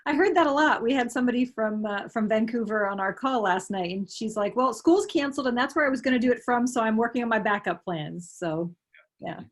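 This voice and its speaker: A woman's voice: on the high side, brisk. A woman hosting an online group call, moderating casually. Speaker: call host.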